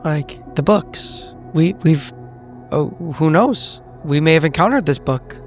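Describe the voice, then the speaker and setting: A man's voice: a little high, expressive, a little slow, soft background music. A man voicing a shaken, evasive veteran agent in a tabletop roleplay, stammering and repeating himself. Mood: troubled, defensive. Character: shaken, evasive male veteran agent, smoking, guiltily confessing. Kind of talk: improvised in-character roleplay.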